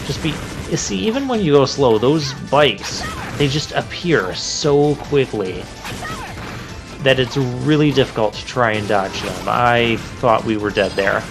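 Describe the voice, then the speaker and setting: Male let's player, mid-range voice, casual, chatty, thinking aloud, game music clearly behind him. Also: medium pitch, lively intonation, unhurried. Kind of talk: casual gameplay commentary. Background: game music and crashing effects.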